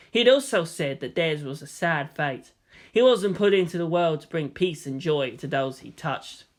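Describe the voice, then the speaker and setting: A narrator reading fan fiction aloud as scripted storytelling. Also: medium pitch.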